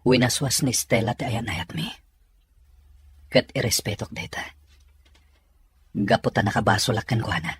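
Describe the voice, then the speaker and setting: An actor plays an earnest young Filipino man in a romantic radio drama. His voice is mid-pitched and restrained, with a Filipino accent. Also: normal speed, long pauses, a bit bright, slightly rough, dry recording. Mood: troubled, earnest.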